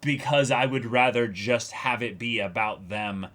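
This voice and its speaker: A man's voice: medium pitch, unhurried, fairly bright tone. A man co-hosting a reaction show, casually talking through an anime episode in a conversational way.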